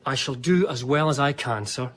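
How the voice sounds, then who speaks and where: An actor playing an eager, reverent young gentleman diarist in a radio drama, speaking formally and effusively. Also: mid-range voice, normal speed, lightly acted. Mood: deferential. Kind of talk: radio drama dialogue and diary narration.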